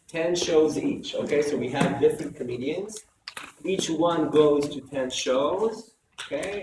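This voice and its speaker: A hoarse male lecturer teaching a class, repeating key points and often checking in with "okay?". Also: fairly high pitch. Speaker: university lecturer. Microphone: close microphone. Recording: echoey classroom from far off, noisy.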